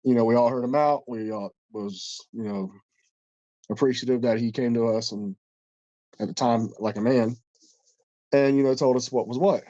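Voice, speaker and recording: A man's voice: mid-range voice, normal speed, long pauses, dark tone, dry recording, lo-fi sound. A man co-hosting a casual podcast, stuffed up and congested, telling a long story in a rambling, profane way with many restarts. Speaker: podcast co-host.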